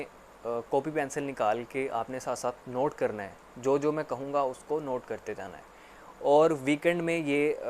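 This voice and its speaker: Male tutor, mid-pitched, rambling casual lecture, faint hiss behind. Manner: repetitive, filler-heavy explaining with frequent "actually". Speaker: English teacher.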